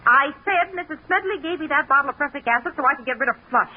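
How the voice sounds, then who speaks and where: An actress playing a nagging, whining, bitter wife in 1940s radio drama style. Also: female voice, high voice, expressive, fast, slight background noise. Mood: nagging, peevish. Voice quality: whiny, husky. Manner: scolding, complaining. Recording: dull, lo-fi 1940s radio recording.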